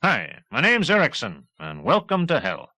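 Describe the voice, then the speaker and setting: A cocky, chummy American chopper pilot in an audiobook novel, acted out by a male narrator. He pitches his voice well up and swings it around through breezy, slangy banter. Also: huge pitch swings, normal speed, pushed and bright, no room sound, exaggerated voice. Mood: cocky, jovial.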